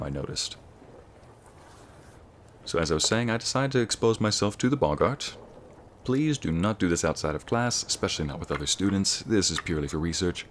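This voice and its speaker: A man with an American accent playing an encouraging, scholarly wizard school professor in an ASMR roleplay, lecturing students in a measured, formal way. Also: low-pitched, slight background noise, natural voice. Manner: measured, formal, teacherly, addressing students directly.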